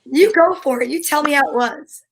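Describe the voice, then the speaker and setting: A woman's voice: high voice, a little fast, vocal fry. A woman co-host in casual, rambling, joking conversation on a livestream. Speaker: show co-host. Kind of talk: casual chat.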